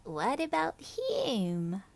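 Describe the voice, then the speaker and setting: A woman voicing a knowing, insistent Cuban grandmother cockroach in a storybook, coaxing with drawn-out words. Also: on the high side, wildly swooping pitch, creaky, a little mellow, no room sound, voice pushed up, full character voice.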